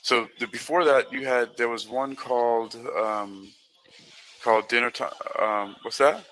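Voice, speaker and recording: A man's voice: medium pitch, fairly even intonation, unhurried, a bit bright, slight background noise, low-quality recording. A man chatting casually as a podcast guest, encouraging in manner, with hesitant, stop-and-start phrasing. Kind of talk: casual chat.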